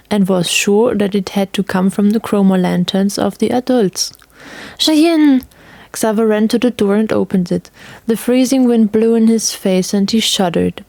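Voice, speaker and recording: A woman's voice: medium pitch, gravelly, mellow, no echo. A woman narrator reading a scripted story.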